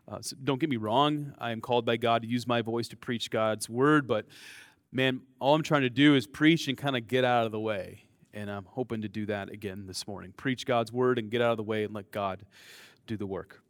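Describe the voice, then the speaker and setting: An American man preaches a sermon in a loose, conversational way, joking at his own expense and stumbling over restarts and fillers. His voice sits at an ordinary middle pitch. The sound is dry and close, with no room echo. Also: steady tone, moderate pace. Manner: conversational, self-deprecating, frequent fillers and restarts. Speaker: pastor.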